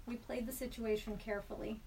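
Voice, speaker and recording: A woman in a studio reading a personal story aloud in a measured, deliberate way. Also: a little high, flat delivery, a little mellow. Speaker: storyteller guest. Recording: slightly distant mic with faint background hiss.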